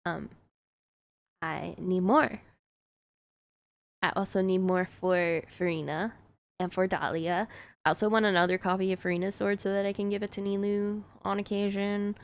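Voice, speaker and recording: A woman's voice: mid-range voice, flat delivery, normal speed, long pauses. A woman streamer chatting casually while she plays, answering her chat with plenty of fillers and little laughs.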